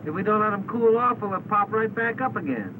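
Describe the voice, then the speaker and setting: A man's voice: high voice, lively intonation, fairly quick, narrow, tinny audio. A man playing an eager young American trainee pilot in a 1940s training film, boyish and a little hesitant. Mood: puzzled, eager. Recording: noisy 1940s film soundtrack.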